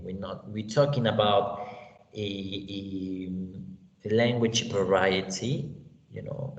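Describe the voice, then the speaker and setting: A man with a Mexican accent teaching an online English class, unhurried and often checking in with "you know" and "okay?". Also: fairly low pitch. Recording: echoey, roomy, dull lo-fi sound with faint hiss.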